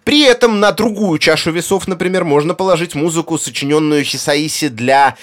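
A man's voice: a little high, a little fast. A man presenting an explanatory video essay in an erudite, articulate way, with conversational asides.

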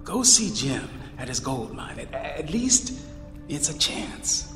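A man doing a tired mother's soft, quiet voice, resigned and worn down. She speaks slowly at a middling pitch. Faint music sits under the reading. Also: very animated, long reverb tail, exaggerated voice. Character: worn, resigned mother sending daughter away.

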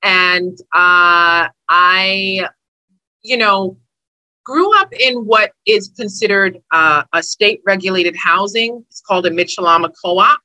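A woman's voice: medium pitch, slow pace, bright, forceful tone, no room sound, low-quality recording. A woman, a housing attorney talking as an interview guest, friendly and chatty. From Brooklyn, New York. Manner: friendly and chatty, with easy laughs. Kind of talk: interview conversation.

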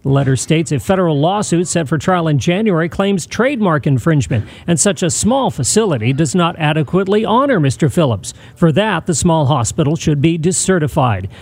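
A man's voice: fairly high pitch, lively intonation, brisk, creaky, few pauses, a little mellow, husky, dry recording. A man delivering a scripted radio news report as a reporter.

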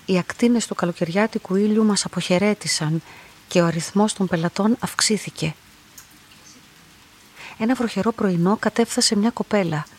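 An actress playing a young, lonely woman who narrates her own story in a radio drama, soft, restrained and matter-of-fact. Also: medium pitch, breathy edge, close to an everyday voice. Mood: quiet, detached. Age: young adult. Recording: music behind the voice.

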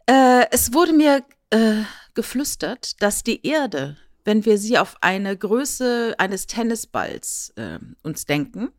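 A woman's voice: fairly high pitch, sing-song, slow pace, few pauses, no echo, clean studio sound. A woman co-hosting a podcast, talking in a casual, conversational style. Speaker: podcast co-host.

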